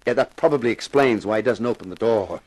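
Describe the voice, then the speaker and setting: A man's voice: fairly low pitch, fast talker, darkish tone, close to an everyday voice, poor audio. A man acting a cocky, glib TV detective, the wry lead and narrator of a 1950s radio drama. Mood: dry. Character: wry, cocky TV actor playing amateur detective.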